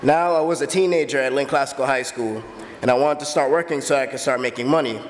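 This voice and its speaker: A man in his early twenties from the Bahamas, earnestly telling his own story as a steady personal testimony. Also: medium pitch, fast, long reverb tail. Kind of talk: personal testimony, read aloud.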